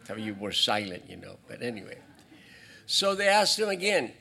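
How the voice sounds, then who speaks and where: A male pastor preaching a sermon in a church, talking in a halting way with restarts and asides to the congregation. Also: lowish voice, big pitch swings, creaky, sharp tone, slight background noise. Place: church sanctuary.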